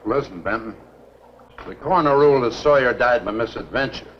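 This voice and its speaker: An actor playing a testy, dismissive small-town sheriff in a 1950s B-movie. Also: male voice, medium pitch, animated, fairly quick, close to an everyday voice. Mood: irritated. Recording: dull old film, faint noise.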